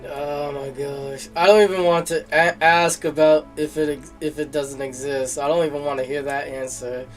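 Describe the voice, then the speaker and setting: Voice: medium pitch, steady tone, normal speed. Casual game streamer over background music. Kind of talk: casual livestream reaction and reading dialogue aloud.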